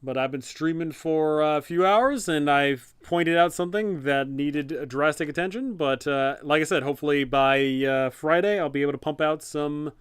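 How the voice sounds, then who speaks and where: A man with a slightly high, clean and resonant voice hosts a casual livestream. He talks half to himself in short, loose phrases. The sound is close and dry. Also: normal speed, few pauses, clear, resonant tone, no echo. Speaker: livestream host, tabletop game master and content creator. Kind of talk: casual livestream chat, thinking aloud while working.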